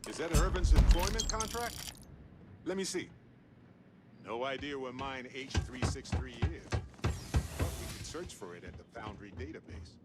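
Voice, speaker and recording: An actor playing a witty, sentimental space explorer and scientist in a video game, with a wry, playful, musing manner. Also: male voice, medium pitch, lively intonation, measured pace, loud music behind the voice, lightly acted. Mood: curious, upbeat. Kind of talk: video game dialogue acting.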